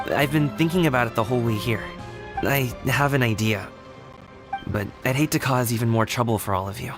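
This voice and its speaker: A man's voice: mid-range voice, a little fast, close to an everyday voice. An actor playing an earnest, humble young man in a game, with music underneath. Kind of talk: game voice acting. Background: game background music.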